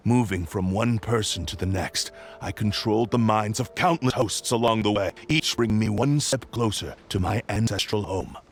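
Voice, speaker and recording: An actor voicing an arrogant, vengeful spirit in a video game, speaking in a grandiose, theatrical and menacing way. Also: male voice, fairly low pitch, moderate pace, few pauses, a little hiss or hum, close to an everyday voice. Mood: brooding, proud. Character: arrogant, vengeful possessed sword spirit, male, menacing and manic. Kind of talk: video game villain acting. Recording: game audio with music behind.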